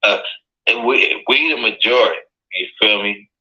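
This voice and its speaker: A man's voice: fairly low pitch, normal speed, pushed and bright, dull audio. A man with an American accent in casual conversation, speaking assertively with slang and swearing.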